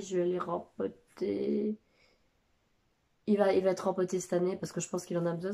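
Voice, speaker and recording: A woman's voice: lowish voice, mellow. Woman with a stuffy, nasal cold voice. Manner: hesitant, many filler sounds. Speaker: plant vlogger. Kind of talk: casual chat. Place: home living room.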